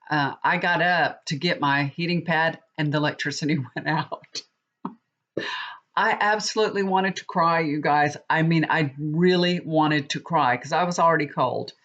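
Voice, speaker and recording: A woman's voice: low-pitched, a bit bright. A middle-aged woman, stuffy and sniffling from a cold, chatting casually and rambling to her viewers. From Texas. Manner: chatty, rambling, with many restarts. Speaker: tarot reader. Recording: dull lo-fi recording.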